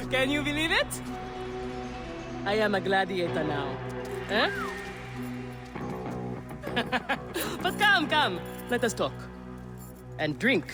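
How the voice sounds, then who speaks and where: A woman's voice: on the high side, exaggerated voice. An actress playing a boisterous, ambitious woman gladiator greeting an old friend in a video game. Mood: exuberant. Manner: hearty, exuberant, bold. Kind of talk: video game cutscene acting.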